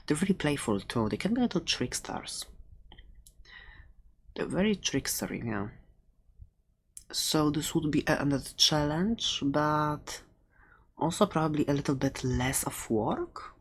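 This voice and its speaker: Very low, slow-talking woman playing dragon egg seller. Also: animated, slightly rough, heavily acted. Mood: musing, teasing. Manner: hesitant, rambling, giggly. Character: soft-spoken, playful dragon egg seller, friendly and rambling. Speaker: ASMR roleplayer playing a shopkeeper. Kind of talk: ASMR roleplay, improvised and conversational.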